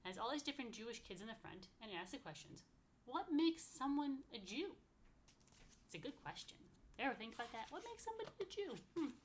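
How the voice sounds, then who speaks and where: American woman for kids' story time, expressive swooping intonation, chatty and questioning, slight background noise. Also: fairly high pitch, a little fast. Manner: expressive, asks listeners playful questions. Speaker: rabbi, story time host for young children. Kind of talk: children's picture book read-aloud with chatty asides.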